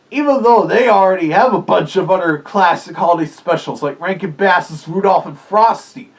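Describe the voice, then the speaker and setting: A reviewer giving casual, scripted commentary in the character of a fuzzy cartoon animal, opinionated and prone to rambling asides. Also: mid-range voice. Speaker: video reviewer.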